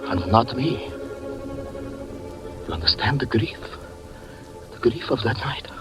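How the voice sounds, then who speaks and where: An actor playing a grief-stricken magician who recounts a tragedy, speaking slowly and brooding, then crying out in anguish, in 1940s radio acting style. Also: male voice, medium pitch, expressive, over music. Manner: slow, brooding, breaking into anguished cries.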